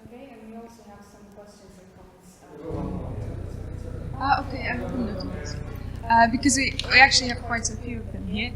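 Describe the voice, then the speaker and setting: A woman's voice: fairly high pitch, lively intonation, moderate pace, long pauses, over music. A woman moderating a conference session and reading out questions from the audience, with some hesitation. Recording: noisy room recording.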